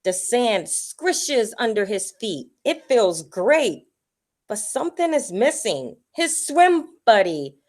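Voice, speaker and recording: A woman reading a picture book aloud to children in a deliberate storytelling manner. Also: fairly high pitch, big pitch swings.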